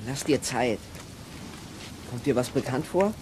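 A man's voice: mid-range voice, animated, unhurried, background noise, natural voice. A man playing a clever, sarcastic boy detective in a children's audio drama, speaking in a pedantic, dry way. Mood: encouraging.

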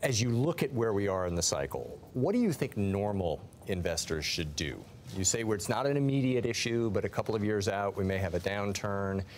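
Casting: middle-aged male interviewer, lowish pitch, plain direct delivery, faint studio ambience, lapel mic. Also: animated, a little fast, hardly any pauses, slight background noise. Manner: direct, conversational questioning. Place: TV studio with newsroom behind.

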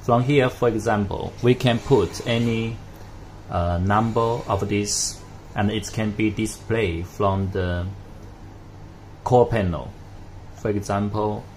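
A man narrating a hands-on product tutorial in a halting, step-by-step way. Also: on the low side, steady tone, a little mellow. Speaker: product demonstrator. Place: indoors at a desk with devices. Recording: noticeable background noise.

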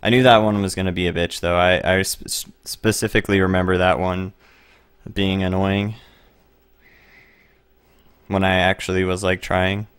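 Low-voiced young man livestreaming. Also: level tone, moderate pace, pauses often, a bit bright, clean tone, dry recording. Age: young adult. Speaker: game streamer. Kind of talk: casual livestream commentary. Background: game music.

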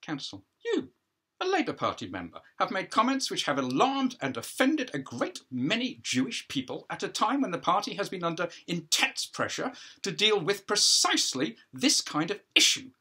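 A man's voice: high-pitched, huge pitch swings, normal speed, bright, forceful tone, breathy edge, voice pushed up, full character voice. Man reading as a pompous, needling prosecuting counsel. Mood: stern. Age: older. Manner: formal, sarcastic, insistent questioning.

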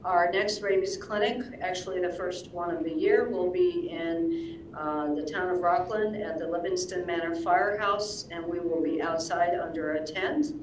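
Woman, public health official, halting delivery, roomy echo, faint hiss. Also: on the low side, lively intonation. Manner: halting, many ums and uhs. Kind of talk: reading public announcements. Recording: echoey room, heard from far off.

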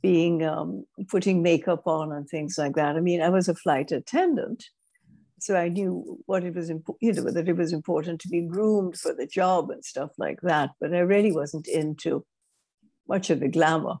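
Older Caribbean woman, mellow low voice. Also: gravelly. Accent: British-Caribbean. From Grenada. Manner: measured, thoughtful, with frequent restarts. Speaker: guest speaker. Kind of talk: reflective interview storytelling. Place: home room, indoors. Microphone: wired earbuds on a video call.

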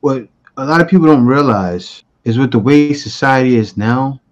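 A man's voice: mid-range voice, moderate pace, dull audio, slight background noise. A man in his forties hosting a reaction show, talking bluntly and animatedly into a close studio mic in a small room. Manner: animated, blunt, talks back at clips. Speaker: host reacting to clips. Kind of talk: casual reaction commentary. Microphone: studio mic close to mouth.

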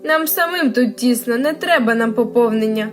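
A woman's voice: a little high, moderate pace, natural voice. A woman voicing unwelcoming, scornful farmyard birds in a children's storybook, complaining. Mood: annoyed.

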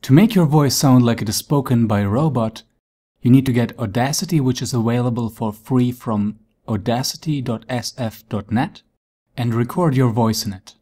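A man's voice: medium pitch, normal speed, mellow. A man giving scripted tutorial narration as the presenter of a how-to.

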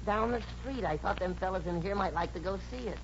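An actor playing a simple, helpful young American bellhop in a 1950s radio comedy, folksy and eager to oblige. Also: male voice, high-pitched, fast. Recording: very noisy, muffled old radio recording.

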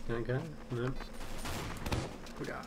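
A man's voice: medium pitch, level tone, a little slow. A man with a cold and a stuffed, nasal voice, chatting and joking at himself while playing a game. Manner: chatty, self-mocking, reacts aloud while playing. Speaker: gaming channel host. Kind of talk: casual gameplay commentary. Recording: game sounds and keyboard noise behind.

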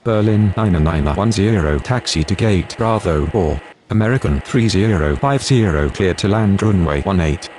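A man's voice: sits low, expressive, moderate pace, hardly any pauses, darkish tone. An actor playing a sober, procedural male pilot and controller in a game, speaking clipped aviation radio phrases. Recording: faint outdoor vehicle noise.

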